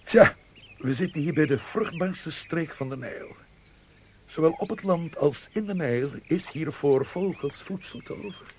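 A man's voice: a little high, animated, unhurried, background noise. An actor playing a genial, knowing, well-connected military man in a Dutch radio play. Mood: informative.

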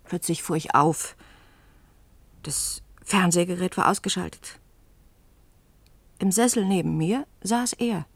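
A woman's voice: on the low side, slow pace, long pauses, dry recording. An actress in a German radio play playing a dry, amused woman who recounts events conversationally. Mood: wry, recounting. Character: wry, candid woman recounting strange events. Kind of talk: German radio play acting and first-person narration.

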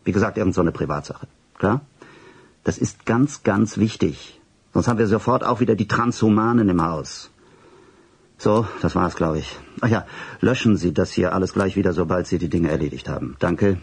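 A man's voice: deep voice, normal speed, husky. An actor playing a flustered, secretive boss in a radio drama, officious and clipped, hesitating and repeating himself as he gives orders. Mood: brisk, secretive. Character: flustered, secretive male boss, a commanding doctor dictating orders. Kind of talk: radio drama acting, phone calls and dictation.

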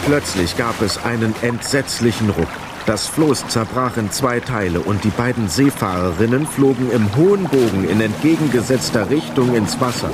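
A man's voice: medium pitch, expressive, normal speed, few pauses, very noisy, close to an everyday voice. A man narrating a children's adventure tale as the storyteller of a radio play. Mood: storytelling.

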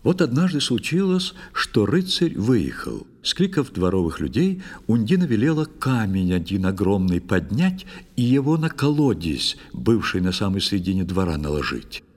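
A man's voice: on the low side, very animated, moderate pace, few pauses, a little mellow. A man narrating a poetic fairy tale as a storyteller, theatrically and intimately, as if confiding in the reader.

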